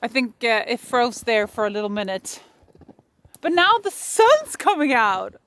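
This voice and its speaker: A woman's voice: a little high, measured pace. Chatty Swedish woman vlogging outdoors. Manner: chatty, laughs often, animated. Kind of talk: casual vlog chat. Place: outdoors in arctic cold.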